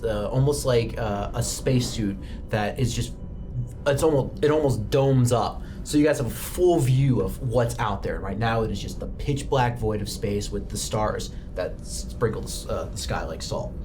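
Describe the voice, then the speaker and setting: A man running a tabletop roleplaying game, narrating scenes casually with fillers and bursts of laughing excitement. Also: mid-range voice, moderate pace. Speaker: game master. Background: music.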